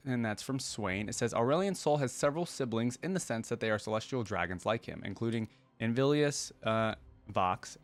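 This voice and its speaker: A man with a fairly low voice hosts a casual reaction video. He reads passages aloud and comments on them informally, thinking out loud as he goes. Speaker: reaction channel host.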